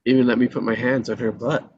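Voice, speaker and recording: Guy doing a discontented married dude in his 30s, earnest n halting, script read, bit muffled w some hiss. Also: mid-range voice, steady tone, a little mellow, lightly acted. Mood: wistful, teasing. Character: discontented married man in his thirties, restless, self-pitying. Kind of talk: live screenplay table read.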